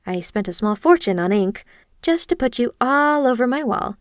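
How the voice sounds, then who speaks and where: A woman's voice: on the high side, lively intonation, normal speed, dry recording, clean studio sound, close to an everyday voice. A woman playing an obsessive, clingy yandere kidnapper in an intimate ASMR roleplay, speaking in a gushing, hesitant, awkwardly earnest way straight to the listener. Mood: sheepish, flustered.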